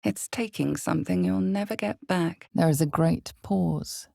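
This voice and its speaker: Casting: a knowing, ominous female narrator for a dark fantasy video game. Deep for a woman, gravelly, measured storyteller delivery. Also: dry recording, clean studio sound, heavily acted.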